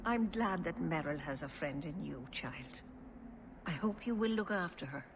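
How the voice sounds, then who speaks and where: An actress playing a calm, stern yet caring older elven clan leader in a fantasy game, speaking formally. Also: female voice, lowish voice, background noise. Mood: gently caring. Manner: measured, formal. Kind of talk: fantasy video game voice acting.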